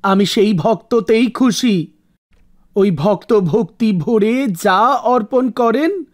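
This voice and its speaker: Woman voicing a slow, solemn, benevolent male god. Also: fairly high pitch, a little mellow, voice pushed down. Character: Lord Shiva, calm benevolent male god. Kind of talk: devotional cartoon storytelling.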